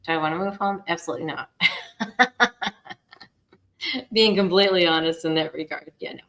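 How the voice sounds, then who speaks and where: A woman's voice: lowish voice, normal speed, a bit bright. A woman from the Midwest chatting casually as a podcast guest, laughing often and repeating her phrases.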